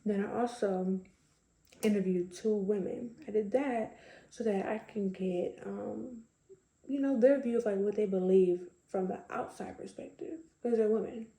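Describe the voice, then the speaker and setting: A young woman with a slightly raspy voice, chatting casually to camera with lots of fillers. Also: mid-range voice, fairly even intonation, a little slow, dark tone, roomy sound, rough recording. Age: young adult. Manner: chatty, conversational, many fillers. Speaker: vlogger.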